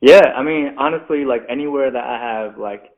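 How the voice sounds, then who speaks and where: A man's voice: medium pitch, normal speed. Chatty young man on a tinny call. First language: Mandarin. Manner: chatty, says "like" constantly, self-deprecating laughs. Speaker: interview guest, rapper. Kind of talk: casual interview chat. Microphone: video call. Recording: phone-like video call audio.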